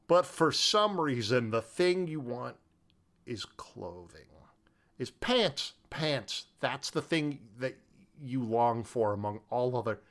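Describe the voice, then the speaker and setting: A man chats as a podcast co-host with a very lively, swooping delivery. He sounds emphatic and incredulous, leaning on key words in loose, colloquial speech. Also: mid-range voice. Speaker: podcast co-host discussing a film. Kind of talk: casual chat.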